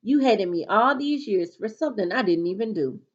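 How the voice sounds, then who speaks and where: A woman voicing a hurt but defiant teenage schoolgirl in an expressive, informal novel read-aloud. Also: mid-range voice, moderate pace, lo-fi sound, voice pushed up, close to an everyday voice.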